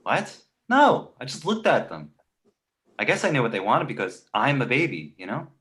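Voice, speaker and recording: A man playing a precocious talking baby boy, sardonic, indignant and pedantic, in an animated comedy table read. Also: medium pitch, normal speed. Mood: dry, dismissive. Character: precocious, snarky two-month-old talking baby boy.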